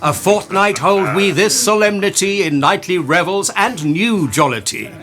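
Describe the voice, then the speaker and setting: A man's voice: high-pitched, animated, moderate pace, a bit bright, soft background music. A man playing a jovial, teasing noble duke in a Shakespearean radio play. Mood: grand, genial. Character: jovial, teasing noble duke presiding over wedding revels.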